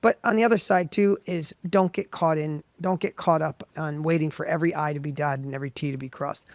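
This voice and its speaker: A middle-aged man from the United States telling his story as an interview guest, with a high voice, animated and full of quick restarts. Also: gravelly, dry recording. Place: professional studio.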